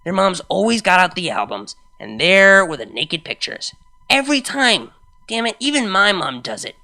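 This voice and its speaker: Reader doing a young girl unicorn narrating her story, real bright punchy voice, casual n wry, confiding, expressive fanfic audiobook style. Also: medium pitch, normal speed, vocal fry, heavily acted. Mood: exasperated, animated. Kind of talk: first-person fan fiction reading, conversational.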